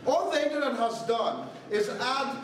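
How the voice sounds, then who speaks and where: Young man from Greece, high-pitched, brisk and sarcastic debater; heavy echo, distant mic. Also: expressive, slight background noise. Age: young adult. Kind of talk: competitive debate speech. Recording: echoey room, distant mic.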